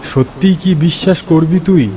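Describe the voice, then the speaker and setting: A man playing a troubled, weary friend who is secretly a ghost, in a horror cartoon, speaking in a halting, ominous way. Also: fairly high pitch, background noise, close to an everyday voice. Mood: troubled, sombre. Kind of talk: cartoon horror story acting.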